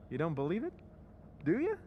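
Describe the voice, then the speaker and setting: A man's voice: fairly high pitch, phone-quality sound, a little hiss or hum, heavily acted. A man playing a once-timid husband with a secret scheme, speaking in a sly, conspiratorial way in a radio drama recreation. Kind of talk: old-time radio drama acting.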